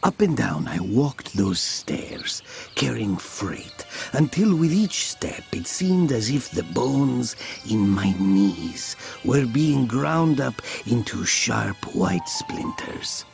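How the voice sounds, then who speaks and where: An actor playing a brooding village man who tells of his past in a measured, theatrical audio drama. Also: mid-range voice, big pitch swings, vocal fry, darkish tone, soft background music, full character voice. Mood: brooding, bitter. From southern Italy. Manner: slow, measured, theatrical, with pauses. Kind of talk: dramatic first-person audio drama narration.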